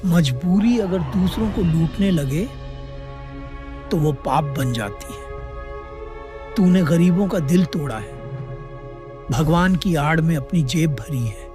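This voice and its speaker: Man playing a slow, solemn holy ascetic. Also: on the high side, expressive. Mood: stern, reproving. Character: stern holy ascetic man, righteous and reproving. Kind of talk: dramatic cartoon story acting. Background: loud background music.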